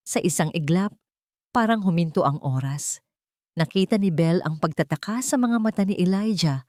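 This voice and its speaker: Female Filipino narrator for romantic drama audio story, slightly low register, close dry recording. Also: no room sound.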